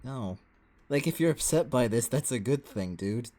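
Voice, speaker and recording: A man's voice: mid-range voice, animated, normal speed, dark tone. A man giving casual, chatty reaction commentary as a streamer.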